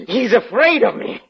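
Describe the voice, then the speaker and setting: A man's voice: high voice, vocal fry, heavily acted. An actor playing a fearful, obsessed man who stammers and repeats words in a 1940s radio horror drama. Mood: manic hysteria. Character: fearful, obsessed haunted man, unravelling into mania. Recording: muffled old radio recording with background noise.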